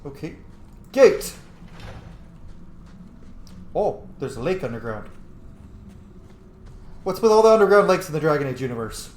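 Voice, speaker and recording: A man's voice: fairly high pitch, big pitch swings, unhurried, long pauses, a little mellow, reverberant, slight background noise. A man doing casual, playful and sweary commentary while playing a video game. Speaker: gaming streamer. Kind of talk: casual let's play commentary.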